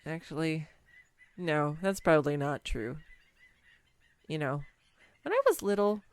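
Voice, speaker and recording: A woman's voice: low-pitched, unhurried, clear, resonant tone. A woman playing a clumsy, nervous young woman on a first date in an audio roleplay, rambling and self-deprecating. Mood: embarrassed, sheepish. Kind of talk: intimate audio roleplay monologue.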